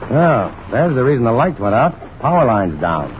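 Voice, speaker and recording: A man acts out a sober, quick-thinking scientist in an old radio play. He explains things decisively with a lively, varied pitch, on a muffled, narrow-band recording with faint noise. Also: lightly acted. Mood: tense, awed. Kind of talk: old-time radio drama acting.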